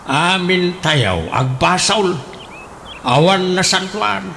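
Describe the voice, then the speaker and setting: Man acting slow, high-voiced Ilocano husband. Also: lively intonation, creaky, bright, forceful tone, slight background noise, heavily acted. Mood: serious. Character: older husband, serious, unhurried, reflective. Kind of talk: radio drama acting.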